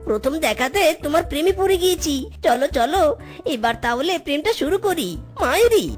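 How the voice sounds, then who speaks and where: A woman's voice: high-pitched, expressive, brisk, faint music behind. A woman voicing a mischievous, lazy young male fox in a children's cartoon, exclamatory and wheedling. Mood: lovestruck.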